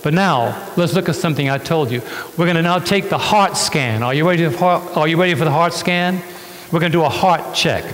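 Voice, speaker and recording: A man's voice: on the high side, brisk, a bit bright. A man preaching a sermon as a pastor, emphatic, stretching key words and putting questions to his listeners. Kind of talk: sermon with rhetorical questions to congregation. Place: church hall, on stage. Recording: reverberant church hall sound.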